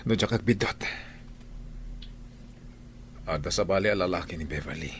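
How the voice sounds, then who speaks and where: A besotted but suspicious Ilocano husband, played by a male actor in an audio drama. He speaks in a lowish, earnest, conversational voice with faint noise behind it. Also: moderate pace, lightly acted. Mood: weary, defensive. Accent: Filipino, Ilocano. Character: besotted, suspicious husband straying from his wife. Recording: some background noise.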